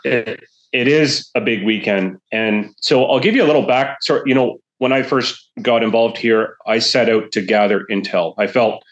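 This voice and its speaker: A man talking as a sled dog racing guest in a casual podcast conversation, measured and rambling with many fillers. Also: medium pitch, muffled recording. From Alaska. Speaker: musher representative, podcast guest.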